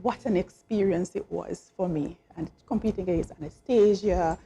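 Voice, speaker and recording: A woman's voice: on the low side, moderate pace, lo-fi sound, a little hiss or hum. Middle-aged woman, chatty guest who laughs through her stories. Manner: laughs often, animated storytelling. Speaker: calypso singer and guest. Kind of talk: casual interview chat.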